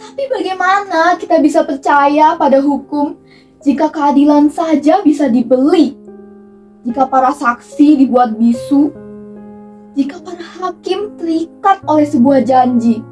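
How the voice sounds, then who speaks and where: High-voiced woman performing an impassioned monologue. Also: darkish tone. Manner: impassioned, rhetorical, emphatic with dramatic pauses. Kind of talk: dramatic theatrical monologue. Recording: distant microphone with music underneath. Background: background music.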